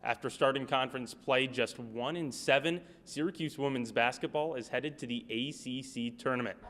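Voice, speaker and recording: A man's voice: medium pitch, normal speed, fairly bright tone, a little hiss or hum. A man working as a sports reporter and play-by-play announcer, delivering scripted reports and game commentary.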